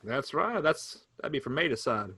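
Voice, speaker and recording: A man's voice: medium pitch, sing-song, normal speed, bright, forceful tone, breathy edge, no echo, exaggerated voice. A man reading the part of an easygoing older farmer brother, coaxing and teasing in a folksy way. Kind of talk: staged play reading.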